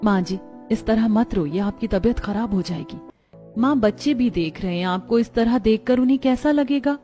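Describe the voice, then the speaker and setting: A woman's voice: on the high side, moderate pace, mellow, soft background music, natural voice. A woman voicing a worried, caring woman of a poor household in a melodramatic children's cartoon, earnest and plaintive. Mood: soothing.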